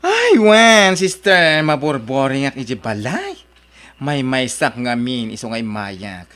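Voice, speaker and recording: Chatty Ilocano woman with lively tone. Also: rumbling low, takes it slowly. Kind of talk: Ilocano audio drama acting.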